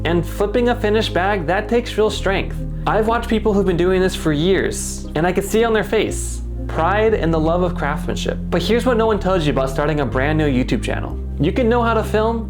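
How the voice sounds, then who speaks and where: A man who makes videos for a company's English channel, narrating his vlog in a reflective, earnest voice. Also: high-pitched. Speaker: company YouTube creator. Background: guitar music.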